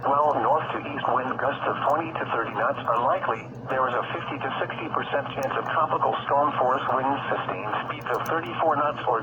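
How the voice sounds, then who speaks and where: Synthetic low-pitched man on noisy radio. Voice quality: synthetic text-to-speech voice. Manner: formal, impersonal. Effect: radio broadcast. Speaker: automated weather radio announcer. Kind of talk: scripted weather bulletin reading. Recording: very noisy, dull weather radio broadcast.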